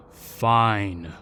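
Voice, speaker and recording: A man's voice: fairly low pitch, heavy background noise. A man playing a jaded, indifferent, snobbish ghost in a comedic audio roleplay, dry, aloof and sardonic.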